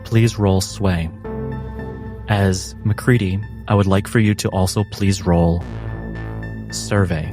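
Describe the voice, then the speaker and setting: Low-pitched man narrating as game master. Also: fairly even intonation, music in the background. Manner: measured, instructive, descriptive. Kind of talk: cinematic tabletop narration.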